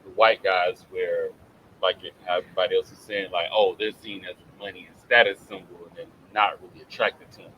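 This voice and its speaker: Man, low and bright-toned, rambling storyteller in a group chat. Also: flat delivery, a little fast, poor audio. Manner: loose storyteller, lots of "like" and restarts.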